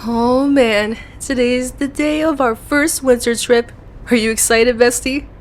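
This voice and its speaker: A young woman acting out a playful, excitable best friend with a secret crush in an ASMR roleplay audio, speaking in a chatty, expressive way. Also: on the high side, a little slow, close to an everyday voice. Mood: excited, playful.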